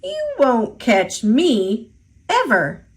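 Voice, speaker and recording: A cocky little two-hundred-year-old leprechaun man from a picture book, acted out by a woman. The voice is fairly high and swoops playfully, taking its time over each teasing, sing-song rhyme. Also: wildly swooping pitch, very slow, full character voice. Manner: playful, taunting, sing-song rhyming delivery.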